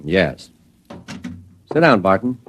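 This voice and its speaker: An actor playing a businesslike, sober space fleet commander in a vintage radio drama, speaking in a clipped, matter-of-fact way. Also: male voice, low voice, sing-song, slow pace, a bit bright, husky, exaggerated voice. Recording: old-time radio drama recording.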